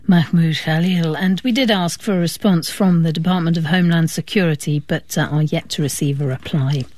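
A woman's voice: deep voice, brisk, a little mellow, no echo. A woman presenting a news programme, interviewing guests and introducing reports. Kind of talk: broadcast interview and news links.

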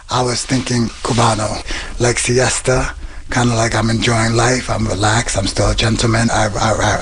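A man's voice: fairly low pitch, fairly bright tone, husky. A man who runs a menswear shop, talking casually in an interview.

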